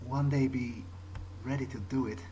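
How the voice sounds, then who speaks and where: A man's voice: mid-range voice, fairly even intonation, moderate pace, warm tone, a little hiss or hum. A man improvising an in-character video game monologue as a brooding vampire, hesitant and often repeating his words. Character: brooding, guilt-ridden young male vampire. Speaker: let's player role-playing in character. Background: video game ambience.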